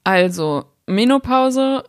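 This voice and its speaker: A woman's voice: a little high, animated, slow pace, no echo, studio quality. A woman, chatting casually and expressively as a co-host. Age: 30s. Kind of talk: casual chat.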